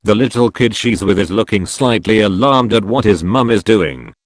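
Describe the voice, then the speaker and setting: Male story narrator, animated, low voice, dry close mic. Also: normal speed, no echo. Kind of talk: reading online stories aloud.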